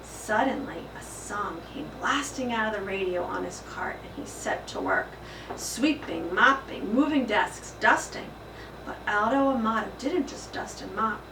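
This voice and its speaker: A woman narrating a children's storybook aloud in an expressive, dramatic and emphatic style. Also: mid-range voice, a little slow. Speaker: school principal reading aloud. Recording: echoey room, distant mic, faint noise.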